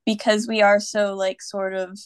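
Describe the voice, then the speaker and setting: A woman's voice: medium pitch, little pitch movement, a little slow, smooth, dry recording, clean studio sound. A woman from Pennsylvania, a podcast guest, speaking in a thoughtful, earnest way with many fillers. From Pennsylvania, USA. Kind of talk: casual podcast chat.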